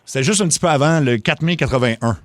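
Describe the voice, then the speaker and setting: A man's voice: mid-range voice, dry recording. A man with a Québécois accent co-hosting a radio show and running a prank call. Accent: Québécois French. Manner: fast-talking, quick and chatty. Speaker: radio co-host.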